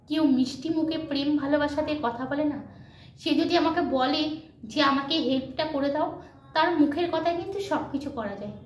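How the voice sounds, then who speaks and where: A very high-voiced woman gives a motivational vlog chat to her followers. She is emphatic, repeats points to drive them home and drops in English words. The room is echoey, with faint noise behind her. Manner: mixes English words into speech. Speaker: video blogger.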